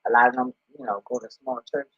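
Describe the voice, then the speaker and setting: A man with a husky, rough-edged voice rambles casually and bluntly, swearing freely in streetwise slang. He speaks at a middling pitch, unscripted, like a spiritual commentator online. The recording is dull and lo-fi. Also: fairly even intonation, fast talker. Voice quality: husky, deep voice. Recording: roomy, dull lo-fi home recording.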